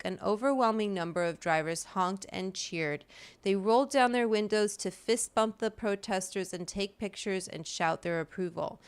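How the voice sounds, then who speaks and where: A woman's voice: medium pitch, clear, resonant tone. A woman hosting a talk, reading a written political essay aloud in an emphatic, impassioned way with brief asides. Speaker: host reading an article aloud.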